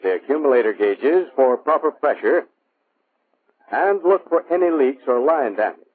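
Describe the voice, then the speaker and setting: An American man reading scripted instructional narration for a training film in a measured, clipped, instructive manner. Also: medium pitch, expressive. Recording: muffled, phone-like vintage military film soundtrack.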